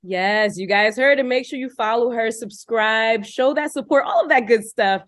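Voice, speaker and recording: A woman's voice: a little high, normal speed, fairly bright tone, no room sound. Upbeat woman podcast host, dry sound. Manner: upbeat, laughs often. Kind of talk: casual interview chat. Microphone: video call.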